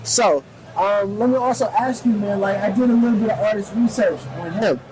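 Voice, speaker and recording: A man hosting a relaxed interview in a shisha lounge, talking in laid-back slang and peppering his lines with "man" and "definitely.". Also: high-pitched, fairly quick, music in the background, low-quality recording. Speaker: host. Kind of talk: casual hip hop interview.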